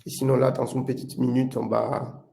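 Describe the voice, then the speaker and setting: A man from Italy speaking with an Italian accent, presenting a live webinar as a product manager in a halting delivery full of filler sounds. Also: mid-range voice, level tone, creaky, dark tone.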